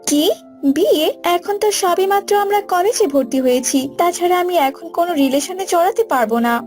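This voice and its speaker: Woman voicing a high-pitched earnest young bride. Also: animated, brisk. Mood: timid, hesitant. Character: distressed young bride, tearful and timid college sweetheart. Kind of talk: cartoon dialogue acting. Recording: loud music bed behind voice.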